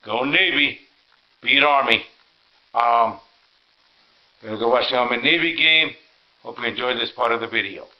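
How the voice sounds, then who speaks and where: A man with a mid-pitched voice narrates a casual home vlog. He sounds tired and talks in a halting, rambling way. The audio is dull and muffled, recorded inside a house as he walks around. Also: creaky. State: tired and sore. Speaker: vlog host. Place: inside a house, walking through rooms.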